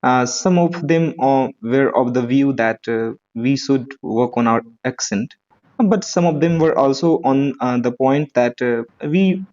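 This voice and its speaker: A man learning spoken English in an online lesson, speaking politely and hesitantly with many pauses. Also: mid-range voice, a little mellow, lo-fi sound. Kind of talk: online tutoring conversation.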